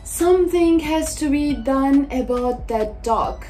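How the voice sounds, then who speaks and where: An emphatic storybook mother of a little girl, voiced by a woman reader. Her voice is high and she speaks slowly, every phrase clearly stressed for children. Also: dark tone, soft background music. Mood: exasperated. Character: exasperated mother of a girl with naughty dog.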